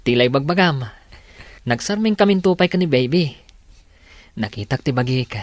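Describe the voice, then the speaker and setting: A Filipino-accented man playing a kind, devoted young man in a radio drama, speaking softly and reassuringly. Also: medium pitch, expressive, normal speed, dry recording, lightly acted. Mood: gentle, affectionate. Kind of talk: Ilocano radio drama acting.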